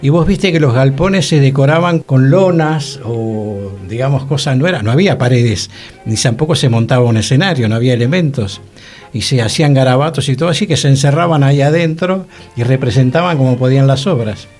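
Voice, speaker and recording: A man's voice: mid-range voice, a little fast, a little mellow. A man with a Buenos Aires accent chatting casually on a radio panel, exclaiming and seeking agreement. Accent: Argentine, Buenos Aires. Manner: chatty, exclamatory, seeks agreement with tag questions. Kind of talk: casual conversational commentary. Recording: music playing behind the voice.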